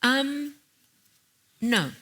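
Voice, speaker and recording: A woman's voice: high-pitched, expressive, extremely slow, bright, forceful tone, breathy edge. A woman speaking in an onstage conference conversation, earnest and emphatic, stressing key phrases as she reflects.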